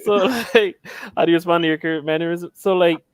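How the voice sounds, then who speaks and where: A man's voice: a little high, brisk, smooth, no echo. A young man from Philadelphia, a panel guest in casual chat on a video call from home, rambling and losing his train of thought. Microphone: headset.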